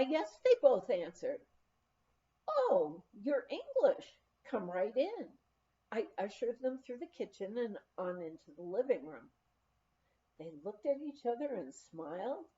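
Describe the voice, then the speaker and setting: Lively, high-voiced woman reading a memoir. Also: highs cut off. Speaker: audiobook reader. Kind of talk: reading a memoir aloud, scripted narration.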